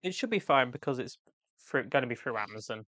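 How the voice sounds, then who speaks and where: A woman's voice: extremely low pitch, expressive, fairly quick, fairly bright tone, no room sound. A woman co-hosting an art livestream, chiming in with brief, dry remarks. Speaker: livestream co-host. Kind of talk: casual live chat.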